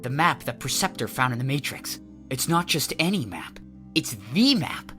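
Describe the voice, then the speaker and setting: Man playing fervent robot believer, animated. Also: mid-range voice, normal speed, music in the background, lightly acted. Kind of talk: audio drama acting.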